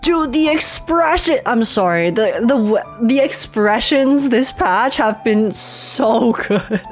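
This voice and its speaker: A young woman livestreamer giving casual, expressive, gushing reactions to a game as she plays. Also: on the high side, takes it slowly. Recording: game music behind the voice. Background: game music.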